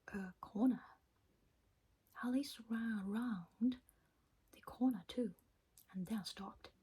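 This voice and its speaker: A non-native English-speaking woman reading a bedtime story in a hushed, breathy ASMR whisper. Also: medium pitch, poor audio. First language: not English. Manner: slow, hushed, deliberate.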